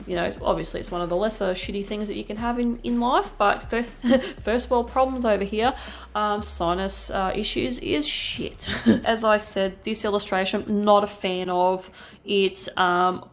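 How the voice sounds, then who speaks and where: Nasal, sniffly woman. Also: mid-range voice. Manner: blunt, swears casually, rambling with many fillers. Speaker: art channel host. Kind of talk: casual chatty voice-over while drawing.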